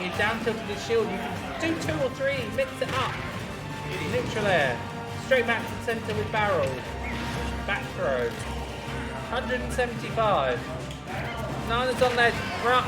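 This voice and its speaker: A hoarse man giving solo play-by-play commentary on a fighting game match, with quick analysis and dry self-mocking asides. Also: high-pitched. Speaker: esports commentator. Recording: echoey room with loud music. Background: loud music.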